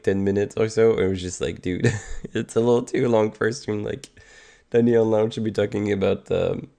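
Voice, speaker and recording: A man's voice: sits low, creaky, mellow. A Canadian man in his thirties, sick with a stuffed nose and sore throat, chatting casually and rambling on a livestream. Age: 30s. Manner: rambling and hesitant, many ums and restarts. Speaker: music streamer.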